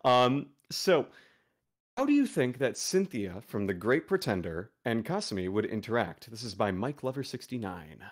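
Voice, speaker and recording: A man's voice: mid-range voice, sing-song, moderate pace. A man hosting a convention panel, joking and reading out fan questions. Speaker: panel host.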